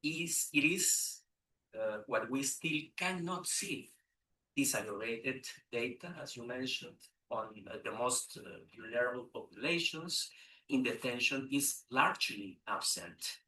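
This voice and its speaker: A man speaks at a conference in a formal, measured way, stumbling now and then over a word. The mic sits some way off. The large room gives his voice a noticeable echo. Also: mid-range voice, expressive, bright, forceful tone. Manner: measured, occasional hesitant restarts. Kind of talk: formal prepared speech. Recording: echoey room, distant mic.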